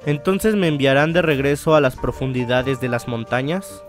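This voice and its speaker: Male gaming host: medium pitch, narrates a visual novel aloud, sometimes rereading, faint music underneath. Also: steady tone, moderate pace. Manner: stumbles and rereads phrases. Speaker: let's play host.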